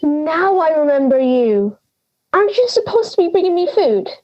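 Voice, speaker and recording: A woman's voice: high-pitched, sing-song, normal speed, dull audio. A young woman playing a cranky, forgetful old granny in an audio drama, brusque, sarcastic and theatrical. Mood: indignant. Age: young adult.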